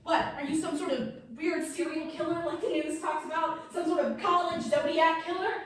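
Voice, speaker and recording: A woman's voice: very high-pitched, steady tone, normal speed. A woman in a staged play reading, playing a spoiled, judgmental rich college-bound girl who sneers and taunts. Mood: mocking. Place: echoey indoor room, far mic. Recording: distant, echoey room with hiss.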